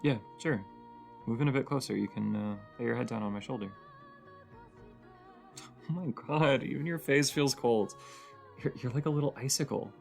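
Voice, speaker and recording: A woman's voice: normal speed, long pauses, a little mellow, faint music behind. A woman playing a cozy, teasing young roommate with a shy crush in an intimate audio roleplay, her voice sleepy and grumbly. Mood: shy, tender, then playful, warm. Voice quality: sleepy, grumbly low voice with creak.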